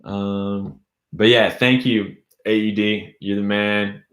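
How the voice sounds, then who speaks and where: A young man hosts a casual live stream, rambling through viewer comments in a loose, friendly way. His voice sits a little low and sounds tired from hours of talking. The room around him is noticeably echoey. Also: level tone, slow pace, a bit bright. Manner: loose, rambling, reads chat aloud. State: losing his voice. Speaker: YouTube host.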